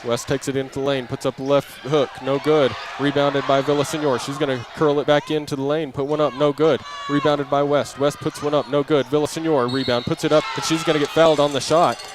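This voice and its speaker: A man's voice: on the high side, little pitch movement, fairly quick, few pauses. A man calling live basketball play-by-play for an audio broadcast in short, clipped phrases, raising his voice with the action. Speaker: play-by-play announcer. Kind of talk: live basketball radio commentary. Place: indoor basketball gym during game. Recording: noisy gym ambience, bouncing balls.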